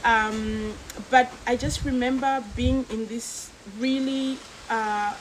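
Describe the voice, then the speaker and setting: A woman's voice: fairly high pitch, steady tone, takes it slowly, slight background noise, rough recording. A woman from Zambia talking as a guest, reflecting thoughtfully and casually with a friend. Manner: thoughtful, reflective, many fillers.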